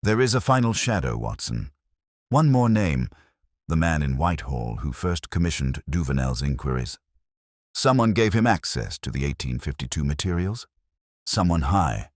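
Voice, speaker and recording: Man acting brooding gentleman detective, very low voice, swooping emphasis, deliberate, analytical, audiobook character. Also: very animated, no echo, clean studio sound, exaggerated voice. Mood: grave, insistent.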